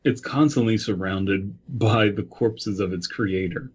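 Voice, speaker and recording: A man's voice: lowish voice, unhurried, breathy edge. A man co-hosting a podcast in casual, joking chat, on a slightly dull lo-fi microphone. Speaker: podcast co-host.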